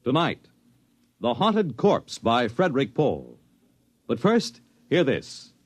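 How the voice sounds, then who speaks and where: A man announcing a 1950s radio show in a dramatic, portentous and deliberate style. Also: mid-range voice, huge pitch swings, husky. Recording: vintage radio broadcast.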